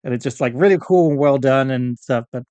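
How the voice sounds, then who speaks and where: A man's voice: medium pitch, fairly quick, dark tone, clear, resonant tone, dry recording. A middle-aged man speaking as a podcast guest in a casual, rambling, reminiscing chat. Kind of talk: casual interview chat about fandom and collecting.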